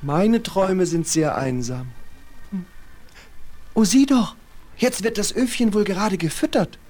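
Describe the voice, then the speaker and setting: A man's voice: sits high, animated, unhurried, darkish tone, soft background music. A man playing a naive, lovesick snowman with an earnest, wondering manner in a children's fairy-tale audio drama.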